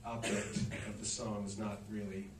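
A man's voice: on the low side, little pitch movement, moderate pace. A middle-aged man answering audience questions after a film screening, rambling and anecdotal with plenty of fillers. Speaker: actor and musician in a film Q&A. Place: cinema stage with audience. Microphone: handheld mic, recorded from far in the audience. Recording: echoey theater from far off, noisy.

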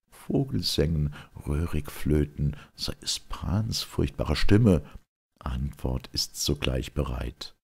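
A man with a gravelly, husky voice reciting classical dramatic verse in a solemn, theatrical, measured manner. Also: a bass voice, a little mellow. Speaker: reader of classic literature.

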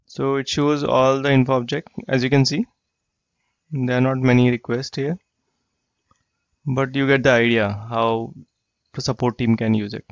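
A man walking through a software tool in a screen-share tutorial, explaining plainly and repeating himself. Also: medium pitch, little pitch movement, normal speed, gravelly, long pauses, no room sound. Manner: plain explanatory, repeats phrases like "you get the idea". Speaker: software trainer. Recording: dry, slightly dull lo-fi voice track.

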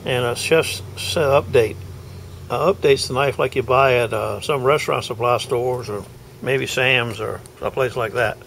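A man's voice: mid-range voice, gravelly, slight background noise. A middle-aged man, chatting folksily in a casual video diary from his car and home, recorded on a handheld camera. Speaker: knife sharpener vlogger.

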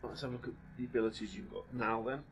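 A man hosting a gaming stream, calmly walking a player through abilities step by step in casual commentary. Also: mid-range voice, fast, a little hiss or hum. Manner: explains game abilities patiently, step by step. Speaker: gaming channel host.

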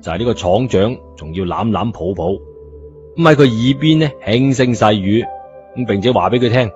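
A man with a Cantonese accent tells a story in a lowish voice. It is colloquial audiobook narration, slightly muffled, with music underneath. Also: normal speed, a little mellow.

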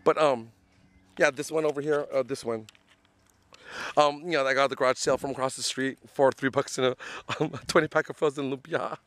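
A man vlogging from a phone held at arm's length while waiting for a bus and riding a train, rambling and chatty with lots of ums, slightly hoarse from losing his voice. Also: mid-range voice, fairly even intonation, fairly quick, fairly bright tone. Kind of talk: casual self-filmed travel vlog. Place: outdoors at a bus stop, then on a bus and a train. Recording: faint vehicle and street noise behind.

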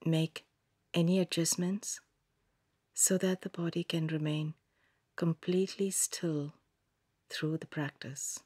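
A woman leads a scripted relaxation practice at a very slow, unhurried pace. Her voice is fairly low and soft, with plenty of breath in it. She gives each instruction calmly and gently, leaving long pauses between phrases. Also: breathy edge, no room sound, clean studio sound. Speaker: yoga nidra meditation guide. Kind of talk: scripted guided meditation narration.

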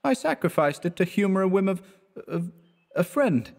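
Man putting on a high-pitched breezy young male student. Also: very animated, moderate pace, vocal fry, darkish tone, heavily acted. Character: breezy young male art student, flippant, easily flustered. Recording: echoey hall-like reverb.

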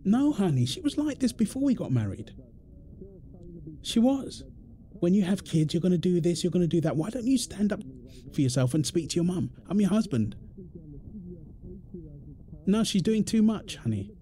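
A man's voice: sits high, sing-song, moderate pace, pauses often, mellow, slight background noise. A British man acting an exasperated, protective husband in a confrontational audio roleplay drama, speaking emphatically. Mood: angry, fed up. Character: exasperated, protective husband arguing with his overbearing mother-in-law. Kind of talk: ASMR roleplay drama monologue.

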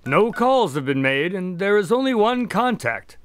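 Earnest doctor sidekick for radio drama male actor, polite, plainspoken, lightish pitch with lively inflection. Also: moderate pace. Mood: practical, cautious. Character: earnest, mildly puzzled male doctor, detective's practical sidekick.

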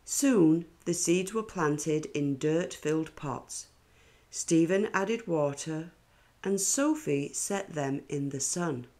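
A woman reading a children's picture book aloud in a deliberate, expressive storytelling style. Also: sits low.

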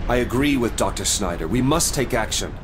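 A man's voice: mid-range voice, expressive, brisk, background noise, natural voice. A man playing an earnest, impatient young commander in a video game, terse and serious. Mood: urgent. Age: young adult. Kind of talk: video game cutscene acting.

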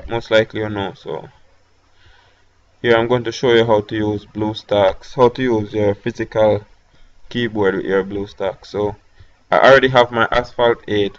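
A man with a Jamaican accent talking through a software tutorial step by step, with hesitant fillers between instructions. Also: lowish voice, fairly even intonation, normal speed, muffled recording. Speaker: tech tutorial host. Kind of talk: screen-recorded software tutorial.

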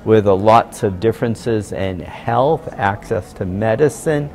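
A man's voice: lowish voice, measured pace, darkish tone. An older man explaining his views in an interview in an earnest way. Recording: music bed underneath.

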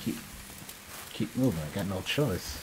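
A man's voice: low-pitched, expressive, unhurried, background noise. A man giving casual gameplay commentary to himself, muttering wryly and often repeating his phrases. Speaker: gamer recording a playthrough. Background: game sounds and eerie music.

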